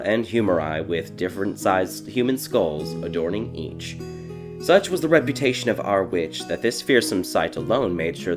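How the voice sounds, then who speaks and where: A man narrating a dark fairy tale audiobook in a measured, theatrical storytelling manner. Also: deep voice.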